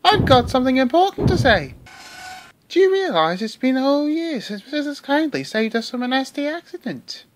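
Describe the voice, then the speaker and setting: A woman's voice: high-pitched, big pitch swings, moderate pace, dry recording. A woman playing a cheerful little tank engine. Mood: eager. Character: cheerful eager young male tank engine. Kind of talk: fan audio drama. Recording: loud background music.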